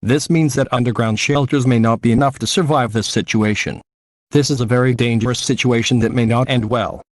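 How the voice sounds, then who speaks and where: A man's voice: mid-range voice, steady tone, brisk, no echo, studio quality. A man reading a scripted emergency news bulletin in a formal, declarative way. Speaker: news announcer.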